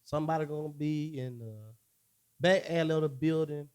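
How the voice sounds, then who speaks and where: A man's voice: a little high, fairly even intonation, moderate pace, darkish tone, clean tone. A man hosting a casual conversation among friends, asking pointed questions and repeating himself for emphasis. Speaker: podcast host. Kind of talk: casual chat.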